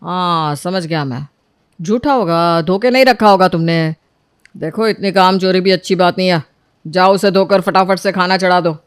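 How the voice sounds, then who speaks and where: A woman voicing a bossy, sarcastic young husband in an animated story, scolding his wife. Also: lowish voice, moderate pace, smooth, no echo, clean studio sound, close to an everyday voice.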